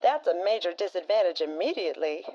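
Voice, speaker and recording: A woman's voice: lowish voice, lively intonation, quick pace, mellow, highs cut off, natural voice. A woman playing a blunt, folksy frontier woman who talks with a drawl and slang as a radio co-host in a fan audio drama.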